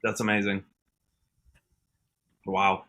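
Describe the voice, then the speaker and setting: Bright-voiced American man, earnest. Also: lowish voice, monotone, takes it slowly. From United States, Washington state. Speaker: podcast guest, country musician. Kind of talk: casual podcast conversation.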